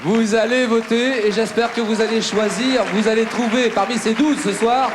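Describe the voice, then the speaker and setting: A man hosting a live TV song contest, lively and prompting, announcing the songs. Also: very high-pitched, normal speed, a crowd in the background, background noise. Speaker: TV host. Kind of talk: live TV presenting. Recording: live theatre broadcast with audience.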